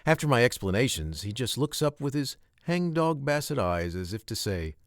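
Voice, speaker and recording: A man narrating a children's story from a script, with expressive, emphatic phrasing and short pauses. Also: mid-range voice, no room sound, clean studio sound.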